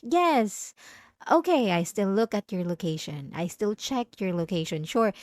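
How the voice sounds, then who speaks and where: A woman playing a possessive, obsessive wife in an intimate ASMR roleplay, pleading and defensive, coaxing with halting, stammered self-corrections. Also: medium pitch, animated, a little mellow, no room sound, studio quality, lightly acted. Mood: pleading, self-justifying. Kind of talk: ASMR roleplay drama, intimate monologue to listener.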